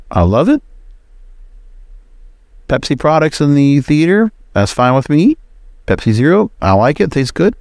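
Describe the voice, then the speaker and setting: A man's voice: a little high, dry recording, clean studio sound. A man hosting a podcast solo with casual, conversational commentary, dropping opinionated asides in short, choppy sentences. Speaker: podcast host.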